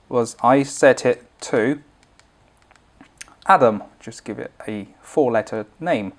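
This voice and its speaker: A man presenting an informal product tutorial, explaining each step in a plain, instructional way. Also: medium pitch.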